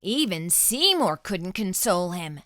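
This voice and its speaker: A woman reading a children's book aloud as a storytime host, with expressive, dramatic storytelling. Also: on the low side, unhurried, dry recording, clean studio sound.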